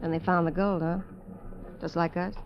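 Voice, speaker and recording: An actress playing a weary, candid dancer adrift on a derelict ship in 1950s radio drama style, talking in a hesitant, low-key way. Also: female voice, little pitch movement, moderate pace, slight background noise, close to an everyday voice. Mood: wistful. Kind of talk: 1950s radio drama acting and first-person narration. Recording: dull vintage radio, music behind.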